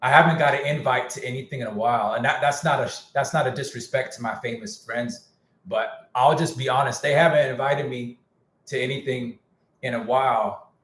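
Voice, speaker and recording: A man's voice: mid-range voice, fairly even intonation, a little fast, a bit bright, reverberant. A man who produces music, talking casually on a live stream, slangy and candid, saying he is losing his voice. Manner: candid, slangy, repeats himself. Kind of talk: casual livestream rant.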